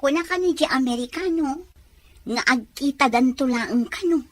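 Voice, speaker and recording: A woman's voice: sits high, moderate pace, dry recording, lightly acted. A woman playing a protective, cautioning middle-aged mother in a serial drama. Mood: confiding.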